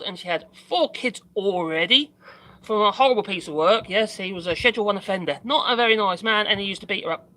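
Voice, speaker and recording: A man's voice: sits high, pushed and bright. A man in his fifties with a Norfolk accent, chatting casually and rambling on a live stream, laughing often. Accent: Norfolk English. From Norfolk, England. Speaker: livestream guest. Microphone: camera on tripod.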